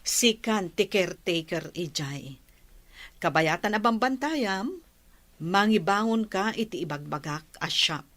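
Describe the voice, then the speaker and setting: An actress plays a kindly, well-off woman in a radio drama. She speaks in an encouraging, reassuring manner at an ordinary female pitch. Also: lively intonation, unhurried, fairly bright tone. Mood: warm, encouraging. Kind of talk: Ilocano radio drama acting.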